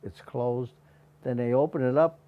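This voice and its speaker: A man in his nineties telling his own story in a halting, plain way for an interview. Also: medium pitch, fairly even intonation, phone-quality sound. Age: 90s. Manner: plain, halting, with restarts and small laughs. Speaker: interviewee, patient.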